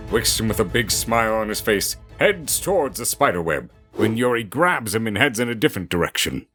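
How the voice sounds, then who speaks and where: A man's voice: lowish voice, sing-song, fairly quick, pushed and bright. A man narrating an audio drama with dramatic scripted storytelling delivery. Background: music.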